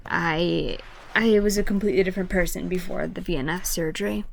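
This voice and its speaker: A woman with a hoarse, deep voice that often drops to a whisper, talking casually to camera in a halting way. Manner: halting, with restarts and stutters. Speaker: vlogger.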